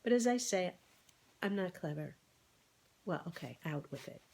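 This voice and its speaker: A woman's voice: on the low side, lively intonation, moderate pace, gravelly, darkish tone. A woman voicing a comfortable, motherly, plainspoken cow with a good heart in an animal storybook. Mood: dry.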